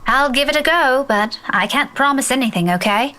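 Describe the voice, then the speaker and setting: A woman's voice: high voice, lively intonation, normal speed, pushed and bright. An actress playing a caring but firm young woman teacher in a visual novel game, composed in her delivery. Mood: hesitant, friendly. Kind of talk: game voice acting.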